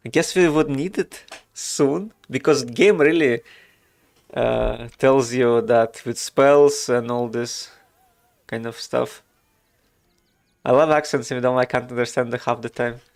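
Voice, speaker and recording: A man's voice: mid-range voice, steady tone, unhurried, vocal fry, no echo. A man from Russia with a Russian accent, chatting casually while streaming a game, thinking aloud with many fillers. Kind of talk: casual gameplay commentary.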